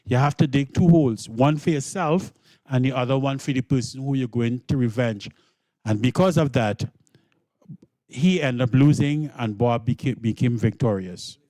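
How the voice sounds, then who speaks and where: A man explaining things in an interview as a drama director, deliberately and with repeated words for emphasis. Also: mid-range voice, warm tone, no room sound.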